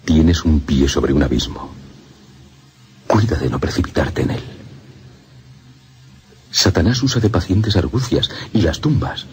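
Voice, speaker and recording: Radio drama: severe abbot, bottom-of-the-range voice, solemn and foreboding. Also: male voice, extremely low pitch, lively intonation, a little mellow. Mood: grave warning. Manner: solemn, slow, portentous delivery. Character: grave, severe abbot warning of demons, ominous. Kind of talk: radio play acting.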